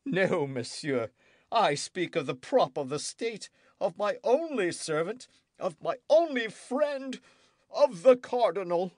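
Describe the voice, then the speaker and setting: Casting: male narrator voicing a querulous French king, raised pitch, swooping lines, dry close mic. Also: sing-song, slow, no room sound, full character voice. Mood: indignant. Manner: querulous, demanding, theatrical. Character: petulant suspicious young French king. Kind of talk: audiobook character voice.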